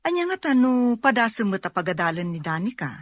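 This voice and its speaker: A woman's voice: fairly high pitch, very animated, brisk, dry recording. A woman acting in an Ilocano radio drama as a younger sister putting pointed questions to her brother. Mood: questioning.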